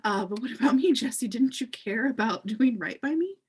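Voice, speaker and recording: A woman's voice: fairly high pitch, moderate pace. A woman reading a furious young woman confronting the ex who left her, with a put-on Southern accent and plenty of swearing. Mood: hurt. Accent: American Southern, put on. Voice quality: husky, swearing, lively delivery. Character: furious young woman, jilted ex-car thief. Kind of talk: amateur script read-through.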